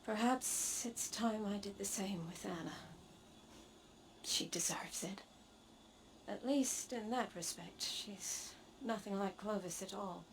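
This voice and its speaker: A woman playing a weary, earnest sci-fi warrior in a video game, speaking with deliberate, reflective gravity. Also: mid-range voice, slight background noise, poor audio. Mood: weary, hopeful. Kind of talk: scripted video game dialogue. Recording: music under the voice.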